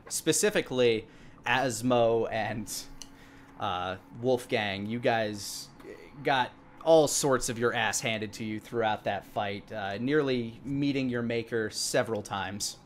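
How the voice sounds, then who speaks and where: Male dungeon master, thirties, medium pitch, halting improvised narration, noisy recording. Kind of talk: improvised tabletop game narration. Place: indoor room, online game stream. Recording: clear background noise on mic.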